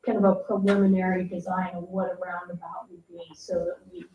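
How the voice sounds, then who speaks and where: A woman giving measured, slightly hesitant answers informally at a public meeting. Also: on the low side, little pitch movement, slight background noise. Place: echoey meeting room, picked up from afar. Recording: roomy, distant, muffled meeting audio.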